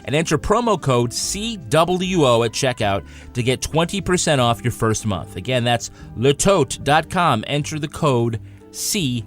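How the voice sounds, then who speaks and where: Casual male podcast host, deeper voice. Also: animated, unhurried, faint music behind. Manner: conversational, informal. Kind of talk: casual panel review and host-read ad banter.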